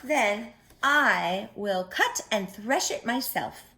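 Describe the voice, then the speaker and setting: A woman voicing an industrious, self-reliant little hen in a storybook, earnest and deliberate. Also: fairly high pitch, expressive, sharp tone, heavily acted. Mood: earnest, determined. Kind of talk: storybook character voice.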